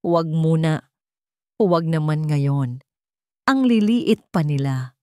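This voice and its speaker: A woman voicing a frightened, pleading young mother who is gravely ill, in an audio melodrama. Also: low-pitched, animated, takes it slowly, warm tone, no room sound, studio quality. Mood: tearful pleading. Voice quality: choked, cracking voice.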